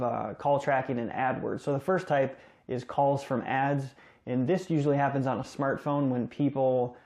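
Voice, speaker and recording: Even-toned man presenting a tutorial. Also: medium pitch. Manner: plain, step-by-step instructional delivery. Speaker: tutorial host. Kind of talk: casual explanatory screen tutorial.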